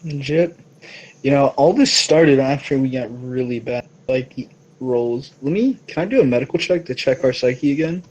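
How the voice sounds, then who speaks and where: Male tabletop player, average pitch, laid-back slang, informal online-call chat. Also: normal speed, a little mellow, dull audio. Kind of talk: casual chat while playing.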